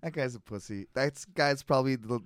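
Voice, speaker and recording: A young man from North America co-hosts a comedy podcast in a smooth, clear voice. He tells loose, rambling stories full of swearing and laughs easily. The mic is close and dry. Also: mid-range voice, a little fast, dry recording. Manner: rambling, profane storytelling, laughs often. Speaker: podcast co-host. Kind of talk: casual comedic chat.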